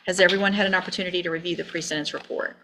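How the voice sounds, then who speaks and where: A woman's voice: lowish voice, fairly even intonation, racing. A woman with an American accent speaking bluntly and sternly as a judge in formal courtroom speech, on a noisy courtroom recording. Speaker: judge presiding over a sentencing.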